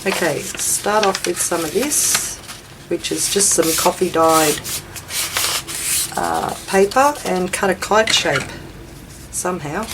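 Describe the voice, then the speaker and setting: An Australian woman chats her way slowly through a craft demonstration, her voice a little raspy with a chest infection. She rambles and talks to herself, poking fun at herself as she goes. A faint noise sits behind her voice. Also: on the low side. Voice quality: slightly raspy. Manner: self-talking, rambling, self-deprecating. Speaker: craft video host. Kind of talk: casual chatty craft demonstration.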